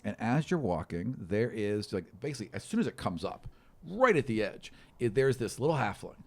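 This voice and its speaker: Male game master, casual and improvised storytelling, lively swings in pitch, halting delivery. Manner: casual, halting, animated storytelling with sound imitations. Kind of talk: improvised narration of a tabletop game.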